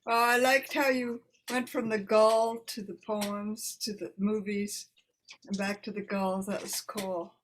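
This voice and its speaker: A woman informally hosting a poetry reading and introducing poets in a halting manner. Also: mid-range voice, flat delivery, a little hiss or hum, rough recording. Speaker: host of a poetry reading event.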